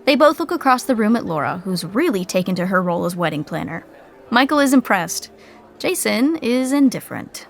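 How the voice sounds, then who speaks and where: A woman's voice: mid-range voice, very animated, fairly quick, creaky. A woman reading scripted comedic narration for a podcast sitcom.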